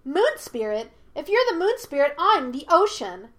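A woman voicing a mischievous, childlike monkey spirit in a story, high-pitched, petulant and mocking with a sing-song manner. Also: very animated, measured pace, a bit bright, exaggerated voice. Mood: taunting.

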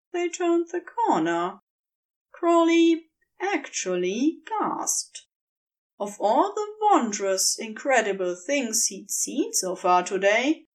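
Expressive, high-voiced woman narrator. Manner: expressive, dramatic storytelling. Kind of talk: scripted fiction narration.

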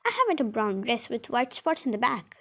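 A woman's voice: a little high, sing-song, fairly quick, a bit bright, heavily acted. A woman voicing a chatty young female shop assistant in a children's story. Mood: helpful.

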